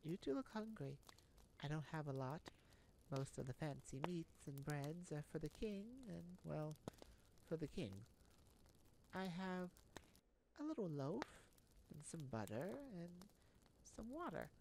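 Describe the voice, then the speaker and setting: A woman voicing a humble, weary, hospitable castle scholar with a soft, hesitant manner in a fantasy audio roleplay. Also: low-pitched, animated, a little slow, pauses often. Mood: welcoming, apologetic. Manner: hesitant, soft-spoken, modest. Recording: faint background noise.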